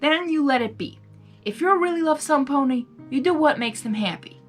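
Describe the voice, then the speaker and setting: Woman voicing a consoling high-voiced cowgirl pony mare. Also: lively intonation, normal speed. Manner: consoling, plain-spoken. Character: kindly, steady female cowgirl pony. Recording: music behind the voice.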